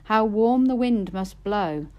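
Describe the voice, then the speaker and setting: A woman's voice: mid-range voice, animated, takes it slowly, muffled recording. Woman playing a curious, eager young maiden. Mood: entranced. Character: curious, tempted, sweet-toothed maiden.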